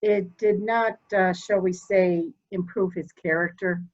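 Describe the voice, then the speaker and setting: A woman playing a proud, formal, candid elderly mother in a murder mystery reading, speaking deliberately. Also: lowish voice, steady tone, a little mellow, muffled recording, natural voice. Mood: composed, candid. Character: proud, cold old mother, candid and slightly deaf.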